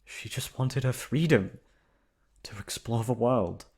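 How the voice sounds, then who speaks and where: A man's voice: mid-range voice, slow pace, a little mellow, husky. A man narrating a scripted bedtime story as an ASMR storyteller.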